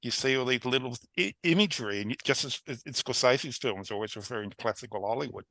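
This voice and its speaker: A man's voice: mid-range voice, pushed and bright, no room sound. A man speaking as a film scholar on an online panel, eagerly jumping in to make his point. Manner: eager, jumps in with quick points. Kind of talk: casual panel discussion over video call. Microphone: video call microphone.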